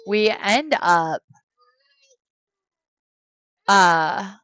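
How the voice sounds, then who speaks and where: A woman's voice: mid-range voice, animated, drawn-out words, a bit bright, no echo, low-quality recording. An American woman in her thirties, chatting casually while she streams a life-sim game from home, rambling with many fillers. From United States. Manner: rambling, hesitant, many fillers, talks to her kids between points. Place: home room.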